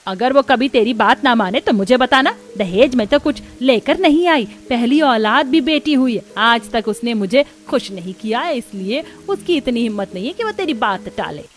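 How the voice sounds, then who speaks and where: Woman playing high-voiced scheming doting mother-in-law. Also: lively intonation, moderate pace, few pauses, lightly acted. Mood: scornful, doting. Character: doting, scheming older mother-in-law favouring the rich bride. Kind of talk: scripted cartoon voice acting.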